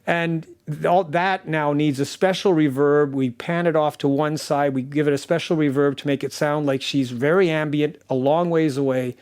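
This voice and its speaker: A man speaks in an interview as a sound designer, in a slightly high voice. He explains his work earnestly and leans on key words for emphasis. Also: steady tone, moderate pace, few pauses, darkish tone, clean tone. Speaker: audio drama sound designer.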